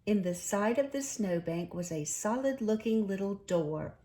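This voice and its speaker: A woman narrating a children's storybook in an expressive, deliberate storytelling manner. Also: mid-range voice. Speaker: storybook reader.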